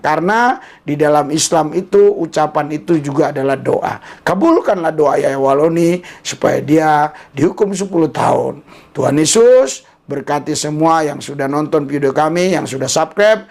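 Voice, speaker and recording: Male YouTube commentator, creaky, slightly high voice, teasing and emphatic, with a preacher's streak. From Indonesia. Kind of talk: casual monologue commentary with preaching.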